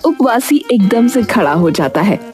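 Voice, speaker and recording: A woman's voice: mid-range voice, sing-song, a little slow, a little mellow, faint music behind. A woman narrator telling a scripted children's-style cartoon story.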